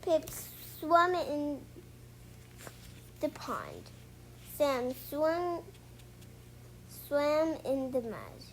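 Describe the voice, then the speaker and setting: A child reading a picture book aloud as a storytime host, halting and repeating words, on a homemade recording. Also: high voice, wildly swooping pitch. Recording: clearly noisy home recording.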